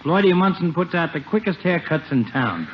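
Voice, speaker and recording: An actor playing a chummy, cocky small-town barber with slangy, joking patter in a 1950s radio comedy. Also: male voice, on the high side, very animated, normal speed. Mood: chummy, breezy. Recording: muffled, noisy 1950 radio broadcast.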